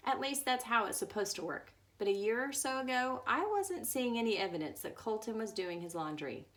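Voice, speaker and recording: A woman's voice: a little high, expressive, a little fast, fairly bright tone. A woman reading a book aloud as a storyteller, now and then stumbling over words. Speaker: story reader.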